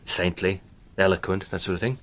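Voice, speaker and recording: An actor playing a cheerful, adventurous gentleman in a radio drama, breezy and full of old-fashioned slang. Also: low-pitched, fairly even intonation, brisk. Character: adventurous upper-class British gentleman, cheerful.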